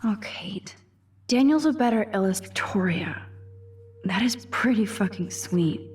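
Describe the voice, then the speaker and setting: A woman's voice: medium pitch, lively intonation, a little slow, a little mellow, slight background noise, close to an everyday voice. A young American woman playing a wry, nerdy, curious student girl in a video game, with a quippy, musing delivery. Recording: music behind the voice.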